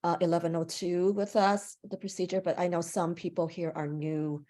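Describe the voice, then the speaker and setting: A woman's voice: lowish voice, little pitch movement, normal speed, mellow. Woman, instructor, native english speaker, online class, video call mic, conversational, thoughtful. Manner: conversational, thoughtful, with a few restarts. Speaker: language instructor.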